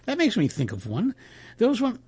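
A man voicing a jovial newspaper reporter who rambles haltingly through a joke in a storybook reading. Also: extremely high pitch, huge pitch swings, darkish tone, breathy edge, voice pushed up, heavily acted. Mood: amused, rambling. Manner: halting, rambling raconteur with asides. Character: jovial wire-service reporter spinning a barroom joke.

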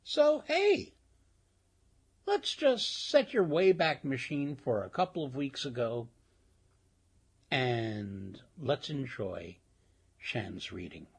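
A man's voice: medium pitch, very animated, slow pace, gravelly, pauses often, slightly rough. A man hosting a radio show, giving a chatty, rambling introduction with playful asides. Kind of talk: casual spoken introduction.

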